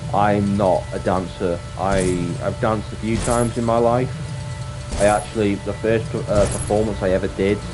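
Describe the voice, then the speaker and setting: A man with a deep voice chatting casually on a livestream, rambling reflectively and often restating his phrases. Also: steady tone, moderate pace. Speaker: streamer and actor. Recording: music playing behind the voice. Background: clear background music.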